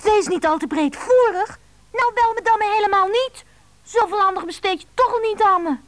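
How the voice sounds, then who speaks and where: A woman's voice: extremely high pitch, normal speed, background noise. An actress playing a lovelorn, needy woman with a pleading, emotional manner in a radio drama. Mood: hurt, sulky.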